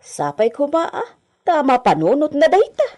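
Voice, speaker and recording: A woman's voice: high-pitched, big pitch swings, normal speed, mellow. A woman playing a loyal, anxious Filipina household servant in a radio drama, exclaiming and deferential. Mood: concerned. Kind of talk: Ilocano radio drama acting.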